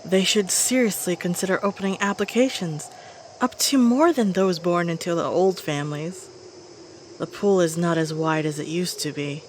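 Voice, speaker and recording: A woman's voice: on the low side, moderate pace, slight background noise, natural voice. A woman playing a weary old veteran witch in a scripted audio drama, dry, wistful and grumbling. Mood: wry, weary.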